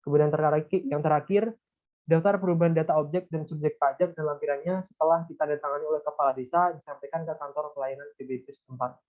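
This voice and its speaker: Casting: young male student, slightly high voice, formally reading prepared answers with halts, heard through a narrow phone-like line. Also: steady tone, quick pace. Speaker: student presenter. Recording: tinny phone-like call audio.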